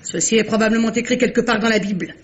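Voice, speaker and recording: An actress playing a nosy, self-assured sister in a radio mystery, speaking in an emphatic, insinuating and sententious way. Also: female voice, a little high, steady tone, fast, a little hiss or hum, lightly acted. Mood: knowing, insistent. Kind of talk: French radio drama acting.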